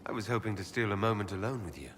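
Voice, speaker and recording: A man playing a sincere elven hero in a fantasy video game, speaking in an earnest, softly direct and gently teasing way. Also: lowish voice, animated, rapid-fire, slight background noise. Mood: curious. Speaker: player character in a fantasy role-playing game. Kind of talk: video game character dialogue.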